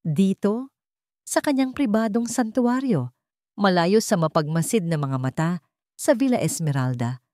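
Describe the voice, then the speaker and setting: Low-voiced Filipina storyteller, close and dry. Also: female voice, a little mellow, no room sound. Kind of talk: scripted dramatic narration of a romance story.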